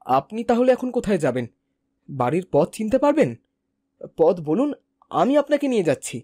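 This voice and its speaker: A man's voice: high voice, sing-song, moderate pace, dark tone. A man playing a kind, hardworking village woodcutter in a fairy tale cartoon, earnest and reassuring. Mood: kind, calming.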